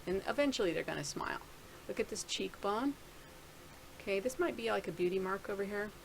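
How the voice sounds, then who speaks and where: A woman's voice: medium pitch, fairly quick, creaky, a little hiss or hum, rough recording. A middle-aged woman casually talking through a screen-share video blog, rambling and thinking aloud with many restarts. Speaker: video blogger.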